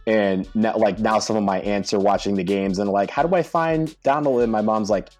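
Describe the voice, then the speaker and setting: An American man talking casually as a podcast guest, telling animated stories and laughing often. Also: on the low side, brisk, soft background music. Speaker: podcast guest, soccer supporter leader. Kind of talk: casual conversation.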